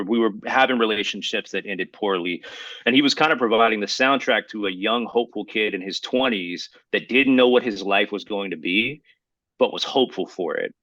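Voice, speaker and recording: A man co-hosting a podcast in casual, slangy, opinionated chat, talking in a halting, rambling way and circling back to qualify his points. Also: lowish voice, pushed and bright, no echo. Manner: halting, rambling, hedging delivery; slangy, addresses listener as bro. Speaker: podcast co-host.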